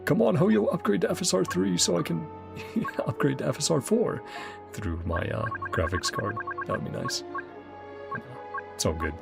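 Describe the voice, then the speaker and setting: A man's voice: on the high side, very animated, unhurried, creaky. A man streaming a game, chatting casually and chuckling often as he half-reads the dialogue aloud. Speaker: gaming streamer. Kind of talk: casual let's-play commentary. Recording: game music playing underneath.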